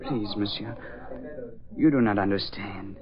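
A man's voice: on the low side, a crowd in the background, lightly acted. An actor playing a solemn, frightened young French boy with a French accent, in a vintage radio drama. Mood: earnest. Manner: earnest, formal, halting. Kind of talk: 1940s radio acting. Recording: dull old radio recording.

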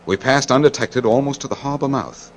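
A man playing a war correspondent who gravely recounts a battle in dramatic first-person radio narration. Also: mid-range voice, brisk, a little hiss or hum, close to an everyday voice. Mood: grave, tense.

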